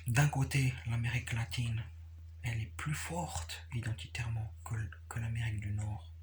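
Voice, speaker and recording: A man giving a casual, unscripted explanation, repeating himself haltingly and often checking that the listener follows. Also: mid-range voice, flat delivery, slight background noise. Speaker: capoeira practitioner sharing views.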